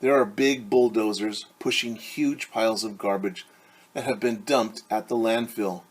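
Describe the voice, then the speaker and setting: A man's voice: fairly low pitch, steady tone, unhurried, breathy edge. A middle-aged man, a teacher, reading a picture book aloud to children and explaining things simply. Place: small room at home.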